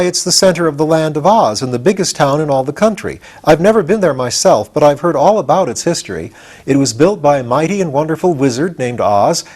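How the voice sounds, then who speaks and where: Man acting a bright-spirited, high-pitched storybook boy. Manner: eager, matter-of-fact explaining. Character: confident, explaining farm boy, proud and adventurous.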